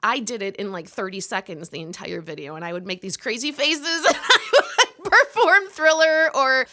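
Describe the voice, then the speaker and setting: A woman in her forties from Wisconsin, telling stories as an interview guest in a goofy, lively way with frequent laughter. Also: a little high, moderate pace. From Milwaukee, Wisconsin. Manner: goofy, lively storyteller who laughs often. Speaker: podcast guest, improv performer. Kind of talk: casual interview chat.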